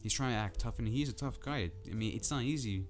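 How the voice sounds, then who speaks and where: A man ranting casually to camera about football in a soft, calm, drowsy way. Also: mid-range voice, quick pace, soft background music. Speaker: football vlogger.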